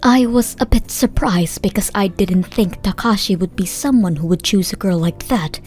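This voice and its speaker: A woman's voice: mid-range voice, normal speed, darkish tone. A woman giving scripted first-person narration of a story as a voice actor. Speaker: narrator and voice actor in a manga dub. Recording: music bed under the voice. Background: background music.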